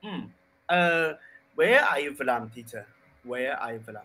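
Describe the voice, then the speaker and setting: A man from China in his thirties with a Chinese accent, speaking halting beginner English into a desk mic. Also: mid-range voice, expressive, bright, forceful tone, low-quality recording. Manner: halting, broken English with many fillers. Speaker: English learner. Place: small room indoors. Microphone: desk mic on boom arm.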